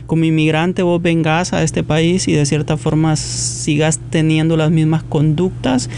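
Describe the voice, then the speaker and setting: Male guest, gravelly and higher-pitched, halting radio talk. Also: flat delivery, a little mellow, a little hiss or hum. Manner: hesitant, repeats words, tag "¿no?". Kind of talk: radio discussion.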